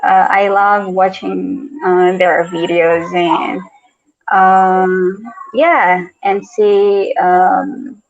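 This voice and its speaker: A woman's voice: mid-range voice, little pitch movement, extremely slow, gravelly. A Brazilian woman with a Portuguese accent, an English teacher chatting on a video call, hesitant and often repeating words. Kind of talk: casual video-call conversation. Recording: dull lo-fi video call audio.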